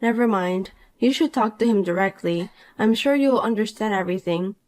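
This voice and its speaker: A woman voicing a calm, cool married young woman in a dramatized story, dry and patient. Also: medium pitch, moderate pace, natural voice.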